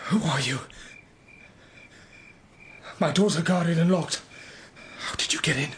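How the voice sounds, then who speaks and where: A man's voice: a little high, unhurried, fairly bright tone, a little hiss or hum. An actor playing a stern, suspicious, authoritarian king in an audio drama, speaking in a clipped, commanding way. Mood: startled suspicion.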